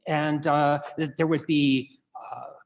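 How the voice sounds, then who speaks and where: A man, a playwright, addresses the audience in a theatrical monologue, wry and halting, given to wordplay. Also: on the high side, little pitch movement, vocal fry.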